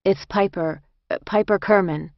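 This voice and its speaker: A woman's voice: medium pitch, normal speed, gravelly, no echo, lo-fi sound, pitched above the performer's own voice, close to an everyday voice. A woman voicing a bewildered, polite new female inmate, hesitant, in audiobook dialogue. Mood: meek.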